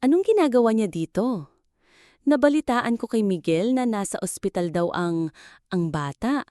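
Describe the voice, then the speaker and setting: Narrator lady as a stern old rich grandma going soft, hesitant, story drama, mellow. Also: on the high side, animated, normal speed, no echo, clean studio sound, voice pushed up, natural voice. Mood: uneasy concern. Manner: hesitant, tentative. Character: proud older grandmother, a stern doña hesitantly softening.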